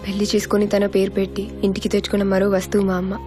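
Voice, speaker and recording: An actress playing an earnest, guarded young woman in a film drama, with a sincere, measured delivery. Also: mid-range voice, monotone, natural voice. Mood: quietly bitter. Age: young adult. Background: background music.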